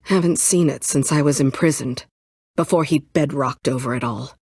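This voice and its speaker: A woman's voice: low-pitched, normal speed, darkish tone, slightly rough, no room sound. A woman playing a bitter, guarded former admin and ex-prisoner in a video game, curt and dryly sarcastic. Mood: grim, wry. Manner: curt, dry sarcasm, brooding.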